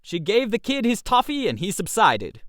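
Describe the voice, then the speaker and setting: A man narrating a comic first-person story in an expressive, theatrical way. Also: sits high, normal speed, no echo, clean studio sound.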